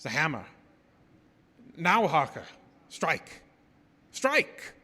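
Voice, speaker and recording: An actor playing a grave, commanding old professor with halting foreign phrasing in a live radio horror drama. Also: male voice, on the high side, wildly swooping pitch, bright, forceful tone, exaggerated voice. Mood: urgent, commanding. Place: small theater stage. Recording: noisy live stage broadcast, long hall reverb.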